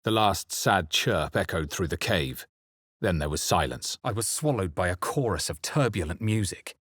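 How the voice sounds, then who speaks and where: Brooding adventurer, deep husky voice, grave deliberate storytelling, dark fantasy game narration, dry close sound. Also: male voice, animated, dry recording, exaggerated voice. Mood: grave, hushed. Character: male adventurer narrating his own dark fantasy memories, grave and introspective. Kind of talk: scripted first-person game narration.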